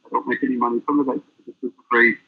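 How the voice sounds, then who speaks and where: A man being interviewed as a guest, telling stories in a rambling, halting way. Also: mid-range voice, flat delivery. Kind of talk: casual interview chat. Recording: tinny phone-line audio.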